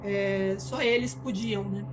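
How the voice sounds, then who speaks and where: A woman's voice: medium pitch, fairly even intonation, slow pace, over music. A woman with a Brazilian Portuguese accent co-hosting a live-stream chat, explaining things hesitantly and often tagging her sentences with "né". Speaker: podcast co-host.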